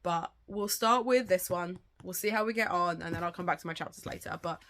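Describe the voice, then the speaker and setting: A woman talks in a loose, rambling way in her reading vlog. Her voice sounds gravelly from a lingering cold, yet it stays bright and forceful. Her pitch sits a touch low for a woman. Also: brisk. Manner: chatty, rambling, swears casually. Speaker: booktuber. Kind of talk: casual reading vlog chat.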